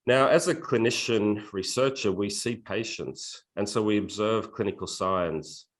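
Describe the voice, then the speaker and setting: A man, a neurology professor, giving a measured lecture with slides, telling anecdotes with frequent fillers. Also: lowish voice. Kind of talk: academic talk with slides.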